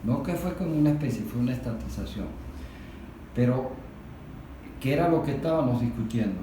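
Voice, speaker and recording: A Venezuelan man in a political discussion, explaining things didactically and deliberately, with emphatic points and rhetorical questions. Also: medium pitch, lively intonation. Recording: very echoey room, distant mic, faint noise.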